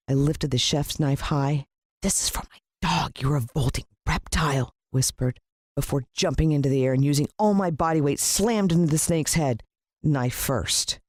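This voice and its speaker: A woman with an American accent reading a storybook as scripted narration, expressive and suspenseful, with deliberate pauses. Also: low-pitched, no echo, clean studio sound. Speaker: audiobook narrator.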